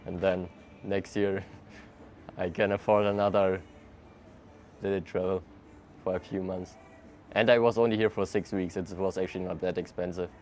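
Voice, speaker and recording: Young german dude chatting outside, kinda low voice, laid back, slight street noise. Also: male voice, normal speed, long pauses. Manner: relaxed, laughs often, loose non-native phrasing. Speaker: interviewee, traveler. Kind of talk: casual street interview. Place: outdoors in a city.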